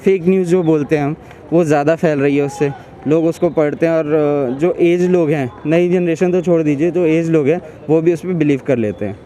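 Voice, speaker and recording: A man's voice: a little high, fairly quick, dark tone, slight background noise. A young man in his twenties, a student answering street interview questions outdoors in a park, earnest and hesitant, mixing English into his Hindi.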